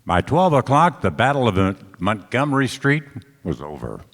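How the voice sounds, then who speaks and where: A man's voice: medium pitch, wildly swooping pitch, moderate pace. A man playing a tough hardboiled private detective, narrating his case in 1940s radio play style. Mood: grim, matter-of-fact. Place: on stage in a hall. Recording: noisy echoey hall, live stage performance.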